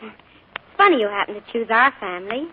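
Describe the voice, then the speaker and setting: An actress playing an earnest, adoring young niece in a 1940s radio drama, on a tinny broadcast with faint hiss. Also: female voice, on the high side, expressive, fairly quick, natural voice.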